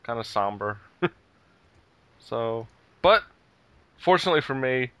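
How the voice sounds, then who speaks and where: A man's voice: mid-range voice, lively intonation, a little slow, a bit bright, clear, resonant tone, no echo. A man hosting a casual gaming podcast, chatting easily and chuckling between remarks. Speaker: podcast host.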